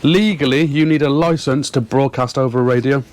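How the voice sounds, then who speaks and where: A man's voice: mid-range voice, fairly quick, darkish tone. A British man giving an informal explainer to camera as a channel host, plain-spoken and repeating points for emphasis. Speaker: boating and fishing channel host.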